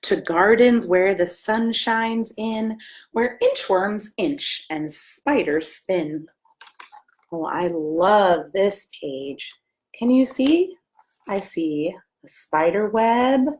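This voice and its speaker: An American woman, a pastor, reading a children's story aloud with gentle, simple asides and questions for young listeners. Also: medium pitch, very slow. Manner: gentle, simple questions addressed to small children, often hushed.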